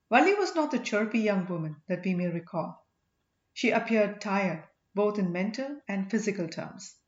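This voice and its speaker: A woman reading an audiobook story in an expressive, scripted narrating style. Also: medium pitch. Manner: expressive, acts out dialogue. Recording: echoey room, dull lo-fi sound.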